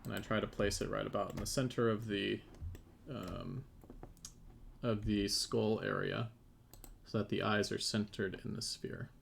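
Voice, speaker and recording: Male game dev, low and gravelly, casual livestream demo, light noise with typing. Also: fairly even intonation, measured pace, pauses often, fairly bright tone. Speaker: game developer and 3D modeler on a livestream team panel. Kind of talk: casual livestream chat and demonstration. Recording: faint background noise, keyboard typing.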